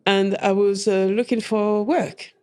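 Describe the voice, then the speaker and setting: A woman, a coffee trainer chatting as an interview guest, laughing often and filling pauses with "uh". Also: mid-range voice, steady tone, a little mellow. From France. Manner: chatty, laughs often, many fillers and restarts. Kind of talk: casual interview chat.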